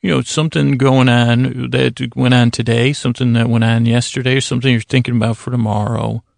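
Male American sleep-podcast host, very gravelly fry, monotone delivery, halting, rambling, full of self-interruptions. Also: medium pitch, no room sound, clean studio sound. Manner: halting, meandering, self-interrupting with frequent tangents and pauses. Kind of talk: rambling, improvised bedtime monologue.

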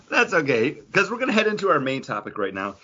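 Man, podcast host, deep-ish raspy tone, animated, casual chat, lo-fi sound. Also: fairly quick.

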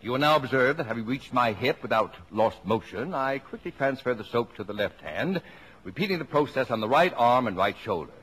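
An actor playing a brisk, proud, bossy middle-aged father with pompous, formal phrasing in a 1950s radio play. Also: medium pitch, animated, fairly bright tone, breathy edge. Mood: pompous, explaining. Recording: noisy vintage 1950s radio recording.

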